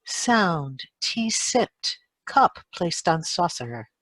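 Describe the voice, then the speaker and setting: A woman's voice: on the low side, sing-song, extremely slow, fairly bright tone, no room sound. A woman reading sound effect cues aloud for a radio play.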